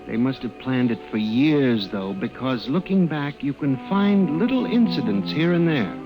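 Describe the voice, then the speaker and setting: An actor playing a timid, hesitant middle-aged male clerk who narrates and acts in an old-time radio drama, with an apologetic, quietly resentful manner. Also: medium pitch, very animated, moderate pace, highs cut off, lightly acted. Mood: haunted, reflective. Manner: hesitant, meek, deferential. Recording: old radio broadcast with music bed.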